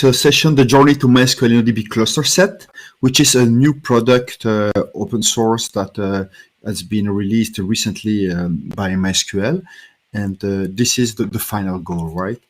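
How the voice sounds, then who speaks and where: A man's voice: on the low side, unhurried. A man from Belgium, a native French speaker with a French-speaking Belgian accent, giving a technical conference talk with many fillers and restarts.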